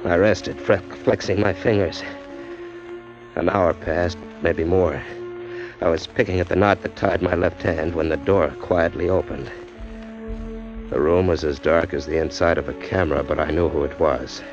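A man's voice: sits low, fairly even intonation, normal speed, music in the background. An actor playing a tough young drifter who narrates his own story in a hard-boiled, slangy manner, in a 1940s radio drama on a muffled old broadcast. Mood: narrating. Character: tough young male drifter, wisecracking, smitten. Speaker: narrator and lead.

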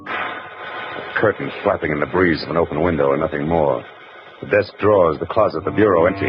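An actor playing a wisecracking hard-boiled private eye who narrates and needles suspects in a tough, sardonic manner, in a 1940s radio drama recording. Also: male voice, deep voice, natural voice. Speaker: narrator and lead. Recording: hissy, dull old radio recording.

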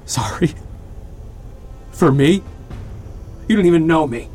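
Desperate space traveler, fairly high voice, terse, confrontational, defiant, sci-fi audio drama, music behind. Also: male voice, lively intonation, takes it slowly, darkish tone, slightly rough, full character voice. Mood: distraught.

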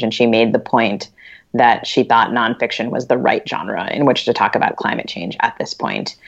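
A woman co-hosting a podcast, interviewing in a thoughtful, discursive conversational way. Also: extremely low pitch. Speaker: podcast co-host. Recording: dull lo-fi recording.

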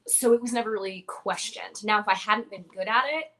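A woman's voice: mid-range voice, brisk, fairly bright tone, slight background noise. A young woman, a wrestler and former radio broadcaster, answering interview questions in a confident, chatty way with frequent filler words.

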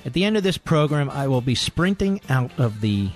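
A man's voice: mid-range voice, big pitch swings, moderate pace, faint music behind. A man hosting a call-in radio talk show, talking emphatically and playfully while he takes calls. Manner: emphatic, playful, swooping delivery. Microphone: studio microphone.

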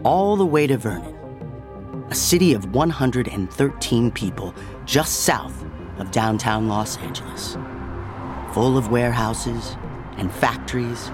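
A man narrating a scripted audio drama with measured, deliberate phrasing. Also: mid-range voice.

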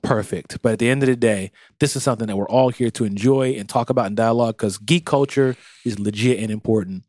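A man's voice: mid-range voice, quick pace, hardly any pauses, darkish tone, no room sound, studio quality. A man co-hosting a casual podcast, talking earnestly and acting out quoted voices. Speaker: podcast co-host.

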